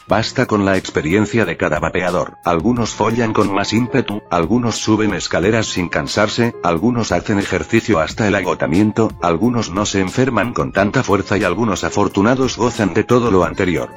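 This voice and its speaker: Crude, deep-voiced Mexican podcaster. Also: male voice. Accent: Mexican Spanish. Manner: crude, profane humor. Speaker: podcast host. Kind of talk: scripted podcast monologue.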